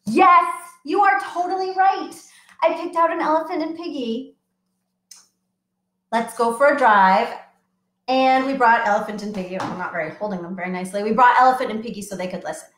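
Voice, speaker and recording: A middle-aged woman, a children's librarian, talking to small children in a bright, sing-song way from her home. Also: fairly high pitch, brisk. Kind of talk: children's storytime livestream. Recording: echoey room.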